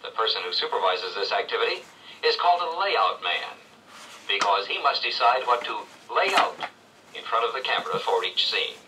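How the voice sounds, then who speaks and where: A man reading scripted 1970s educational narration with measured, clear storytelling phrasing. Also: fairly low pitch, big pitch swings, recorded from a distance. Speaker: read-along narrator. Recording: old read-along played back from afar, faint noise.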